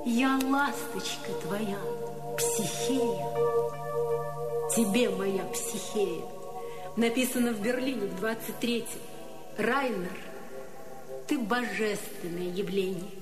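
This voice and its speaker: High-voiced, passionate woman poet, echoing. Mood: tender longing. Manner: dramatic, lyrical verse declamation. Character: passionate, proud, tormented woman poet in love. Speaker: actress in a radio play. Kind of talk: theatrical poetic radio play acting.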